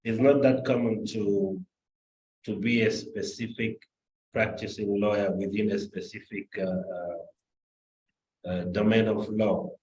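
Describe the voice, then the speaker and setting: A man's voice: fairly low pitch, fairly even intonation, takes it slowly, a little mellow. A man from Cameroon, a corporate lawyer introducing himself on a video call, hesitant and often correcting himself. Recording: echoey, dull video-call audio.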